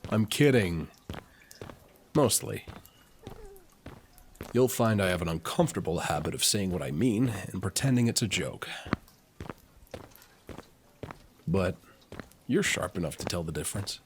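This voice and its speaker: A man playing a suave, teasing, flirtatious military officer and alchemist in an intimate roleplay audio, speaking smoothly. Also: deep voice, expressive, slight background noise. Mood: flirtatious, playful. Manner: smooth, unhurried, playfully teasing.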